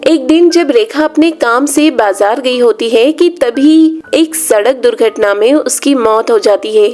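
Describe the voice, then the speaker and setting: High-pitched, unhurried woman storyteller. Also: darkish tone. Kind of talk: scripted children's story narration. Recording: music under the voice.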